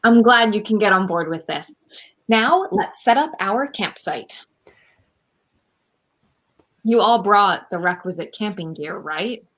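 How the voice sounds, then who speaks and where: An earnest young eco-heroine acted by a woman in a radio comedy, easily exasperated with her friends. Her voice is mid-pitched, recorded over a video call. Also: animated, slow, pauses often, a bit bright. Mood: upbeat. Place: room at home, video call.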